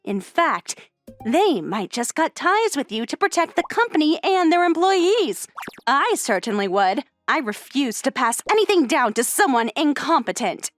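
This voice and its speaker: A woman playing a poised, sharp-tongued rich high school girl in an anime-style manga dub, articulate and expressive. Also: unhurried, no room sound, faint music behind. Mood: cold, scornful.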